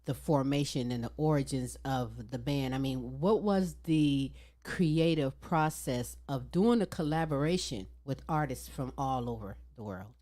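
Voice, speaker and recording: A woman hosting a talk show, interviewing a musician guest with quick, slightly stumbling questions. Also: very deep voice, dark tone.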